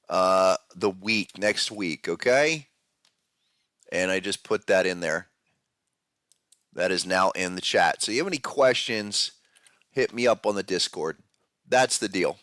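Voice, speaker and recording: A man hosting a live podcast about trading charts, talking in a casual, loose way with a head cold that deepens his voice. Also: sharp tone, dry recording, clean studio sound. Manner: casual, loose, with fillers and restarts. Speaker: podcast host. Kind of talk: live-stream market analysis chat.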